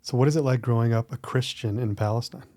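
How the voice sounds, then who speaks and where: A man's voice: medium pitch, fairly even intonation, fast, mellow. A man hosting an interview podcast, his voice sitting in an ordinary middle range. He keeps his questions short and lets the guest do the talking. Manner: brief prompting questions. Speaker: podcast host.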